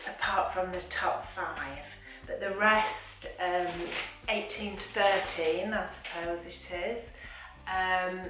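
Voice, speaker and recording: Woman vlogger, slow and wry, exasperated chat; boomy room, faint music. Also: fairly bright tone, very echoey, far from the mic, low-quality recording. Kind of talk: casual chatty monologue.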